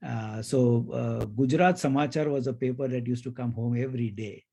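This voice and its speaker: Man, Indian accent, soft mellow tone, podcast host, effusive and reflective, conversational. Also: mid-range voice, brisk, gravelly, husky. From India (grew up in Mumbai).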